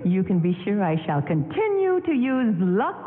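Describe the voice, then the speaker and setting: A woman's voice: medium pitch, sing-song, moderate pace, faint music behind, close to an everyday voice. Woman acting an obliging 1940s radio guest. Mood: obliging, chatty. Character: chirpy, obliging celebrity wife plugging soap. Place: live on stage in a town hall theatre. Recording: thin phone-like sound, echoey hall, noisy.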